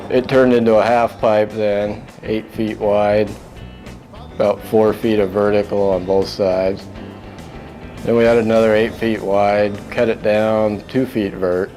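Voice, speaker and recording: Slow, flat-toned man recalling the past. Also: fairly low pitch. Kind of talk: plain recollection in a documentary interview. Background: instrumental music with guitar.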